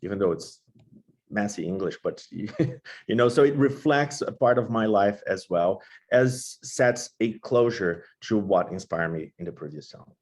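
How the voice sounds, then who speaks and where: A man from Brazil with a Brazilian accent and Portuguese as his native language, talking informally as a composer about his work, haltingly searching for words. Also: lowish voice, expressive, darkish tone.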